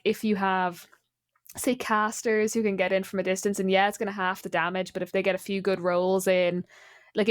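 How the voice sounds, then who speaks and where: A woman in casual, informal conversation about tabletop gaming, animated and teasing as a podcast co-host. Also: medium pitch, no room sound, studio quality. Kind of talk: casual chat about tabletop game monsters.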